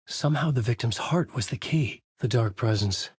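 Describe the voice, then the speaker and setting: An actor playing a tormented, haunted horror novelist, narrating a video game in grave, brooding noir fragments with a husky voice. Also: male voice, medium pitch, normal speed, no room sound, clean studio sound. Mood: haunted, uneasy. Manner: grave, literary, brooding delivery in short fragments.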